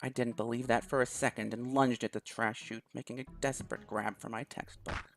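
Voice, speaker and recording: Gravelly man reading a story aloud. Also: medium pitch, level tone, brisk, soft background music. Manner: first-person narration with small restarts. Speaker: let's play host. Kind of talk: reading a visual novel aloud.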